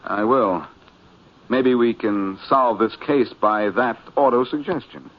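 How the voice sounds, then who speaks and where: An actor playing a confident, wisecracking male amateur detective in a 1940s radio drama, speaking in a wry, smooth way. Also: mid-range voice, slow pace, close to an everyday voice. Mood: wry, probing. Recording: muffled, noisy 1940s radio recording.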